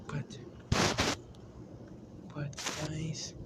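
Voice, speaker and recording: A man giving an unscripted vlog-style talk as a video creator, haltingly, often repeating and restarting his phrases. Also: heavy background noise. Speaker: video creator announcing a decision to fans.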